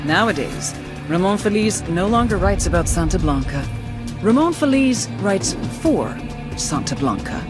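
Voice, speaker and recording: A woman playing a blunt, cynical American intelligence officer giving a scripted briefing with dramatic pauses. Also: medium pitch, big pitch swings, over loud music, natural voice. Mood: grave. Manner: wry, profane, dramatic pauses.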